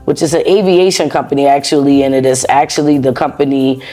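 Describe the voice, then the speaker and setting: Animated American woman telling her story. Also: rumbling low, quick pace, soft background music. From United States. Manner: animated, repeats words, laughs often. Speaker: interview guest, former soldier. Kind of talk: casual conversational storytelling.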